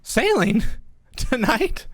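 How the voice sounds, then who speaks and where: A woman's voice: on the high side, big pitch swings, extremely slow, no room sound, heavily acted. A woman playing a teasing, romantic young lady from a wealthy family in a radio play, with a coy, dramatic manner. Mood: giddy, delighted. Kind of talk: old-fashioned radio play acting.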